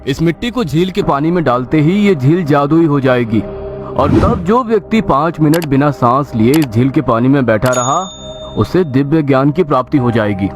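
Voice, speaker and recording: Smooth-talking cartoon merchant played by a man, music behind. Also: on the high side, expressive, moderate pace, natural voice. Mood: salesmanlike. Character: smug, cunning male village merchant selling false promises. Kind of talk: Hindi cartoon moral story dialogue. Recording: loud music under the voice.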